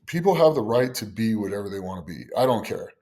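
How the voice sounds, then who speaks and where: A man hosting a casual podcast chat, with a loud voice and an emphatic, excitable way of telling stories. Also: fairly low pitch, quick pace. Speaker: podcast host and strongman judge.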